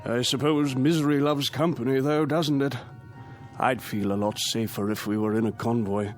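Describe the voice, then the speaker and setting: An actor in a radio drama playing a nervous, well-mannered British army major with a British accent. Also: male voice, mid-range voice, fairly even intonation, moderate pace, soft background music, natural voice. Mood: anxious.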